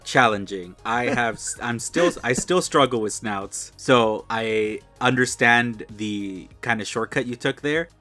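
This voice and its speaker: A man's voice: medium pitch, measured pace, hardly any pauses, pushed and bright, faint music behind. A man hosting a casual chat, easygoing and self-deprecating, chuckling between remarks. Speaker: channel host.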